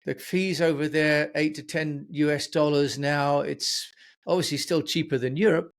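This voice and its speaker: Gravelly, higher-pitched male podcast host. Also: fairly even intonation, moderate pace. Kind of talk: conversational interviewing.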